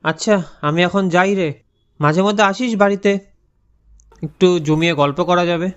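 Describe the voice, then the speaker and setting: A man's voice: sits high, normal speed, clear, resonant tone, dull audio, lightly acted. A man voicing an avuncular village elder in a moral-story cartoon, speaking to someone younger. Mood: friendly.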